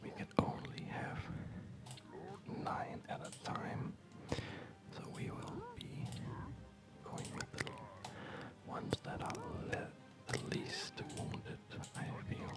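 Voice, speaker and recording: Whispering male ASMR gamer, unhurried and hushed, trailing pauses, loud game music behind. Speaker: host of an ASMR gaming channel.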